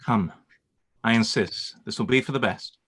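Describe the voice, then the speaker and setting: A man acting a reserved, concerned gentleman in a period radio play, speaking formally and earnestly. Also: mid-range voice, moderate pace, husky. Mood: alarmed, insistent.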